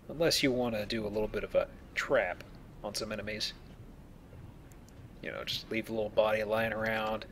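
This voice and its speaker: A man's voice: fairly low pitch, a little hiss or hum. A man giving casual, joking commentary on a video game as he plays and explains it. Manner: joking, colloquial. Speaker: video game let's player.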